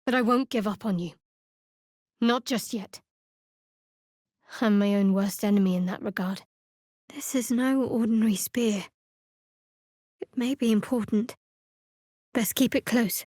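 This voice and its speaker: Sardonic, guarded young woman cleric, higher-pitched. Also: measured pace, long pauses, a little mellow, no room sound. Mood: tender, confiding, then wary, observant. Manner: dry, wry, sardonic. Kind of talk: video game character voice lines.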